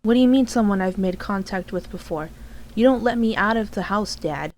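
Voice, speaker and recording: A woman's voice: mid-range voice, lively intonation, brisk, a little mellow, close to an everyday voice. A woman playing a sheltered, emotional daughter in an amateur superhero audio drama. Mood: indignant.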